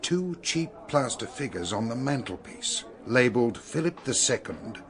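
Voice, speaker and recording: A male actor plays a no-nonsense British police detective in a radio drama. His voice is medium in pitch, businesslike and clipped. He speaks bluntly, like an official used to questioning. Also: sing-song, moderate pace, natural voice.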